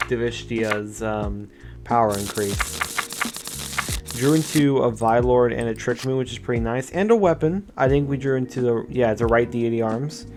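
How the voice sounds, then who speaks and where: A young man with a slightly raspy voice, chatting casually through a card game deck test and explaining his plays. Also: medium pitch. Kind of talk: casual gameplay commentary. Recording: music playing underneath.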